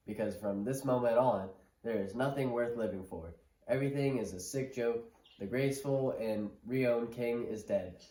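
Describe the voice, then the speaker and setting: A man's voice: fairly low pitch, mellow. A man giving a dramatic reading of a modernised stage play for a puppet show. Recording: roomy, echoing room sound.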